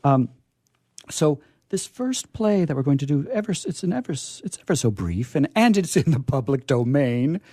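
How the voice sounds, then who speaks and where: A man hosting a radio program in a casual, chatty way, halting and often repeating his words. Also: a little high, big pitch swings, mellow, no room sound, studio quality. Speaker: radio host.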